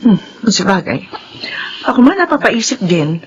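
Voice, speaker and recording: A woman's voice: mid-range voice, sing-song, a little slow. An actress playing a soothing, concerned wife and mother in a Filipino radio drama, with a Filipino accent. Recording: dull lo-fi sound, faint noise.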